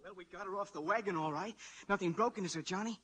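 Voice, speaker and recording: An actor playing a boyish, eager but nervous younger brother with an excitable, questioning manner in a 1940s radio drama. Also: male voice, high voice, fast talker, over music, close to an everyday voice. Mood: anxious, eager. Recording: vintage 1940s radio broadcast.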